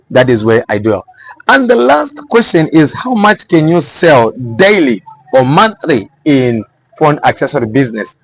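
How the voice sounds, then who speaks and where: A man's voice: mid-range voice, poor audio. Zambian man explaining business to viewers. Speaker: vlogger giving small business advice.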